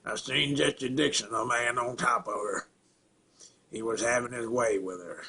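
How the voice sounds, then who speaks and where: A man acting a simple-minded rural Southern man in a halting, plainspoken dramatic film monologue, with a throaty rasp and grunts. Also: medium pitch, steady tone, bright, forceful tone, heavily acted. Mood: grim, matter-of-fact. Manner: halting, repetitive, plainspoken, folksy.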